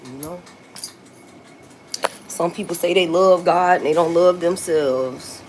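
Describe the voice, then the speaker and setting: A woman's voice: on the low side, slow, a little mellow, slight background noise. A woman in her forties chatting to viewers on a phone livestream outdoors. She talks bluntly and repeats herself, swearing and laughing often. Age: 40s. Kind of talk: casual live-stream chat with viewers.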